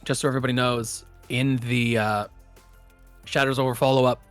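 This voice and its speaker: A man runs a tabletop role-playing session, setting scenes and calling for dice rolls. He speaks at a middling pitch in an easy, unhurried way. He breaks his sentences often with pauses and filler sounds. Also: faint music behind. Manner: relaxed, many filler pauses. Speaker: game master. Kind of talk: narrating a tabletop game.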